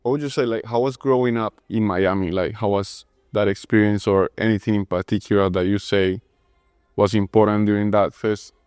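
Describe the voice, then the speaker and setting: A man's voice: lowish voice, fairly even intonation, moderate pace, darkish tone, clean tone, no room sound, clean studio sound. A man hosting a café interview, asking friendly, loosely phrased questions. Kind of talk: casual interview.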